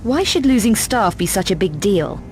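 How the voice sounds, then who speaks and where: A woman's voice: mid-range voice, sing-song, moderate pace, a little hiss or hum, close to an everyday voice. A woman playing a tough, self-assured adventurer in a video game, with a terse, dry, unflappable delivery. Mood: dry, businesslike. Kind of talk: video game cutscene acting.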